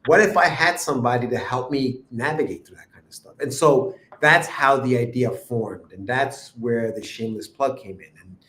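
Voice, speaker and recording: Give me a relaxed man in an echoey room. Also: mid-range voice, normal speed, hardly any pauses. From Ecuador. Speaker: guest cartoonist. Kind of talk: casual interview chat. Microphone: remote livestream call.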